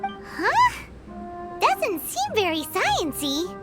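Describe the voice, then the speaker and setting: A woman voicing a tiny, excitable, easily indignant childlike fairy companion in a video game, squeaky and bratty. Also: very slow, exaggerated voice. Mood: doubtful. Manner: bratty, exclamatory, refers to herself by name. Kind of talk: cartoonish video game dialogue. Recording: loud music behind the voice.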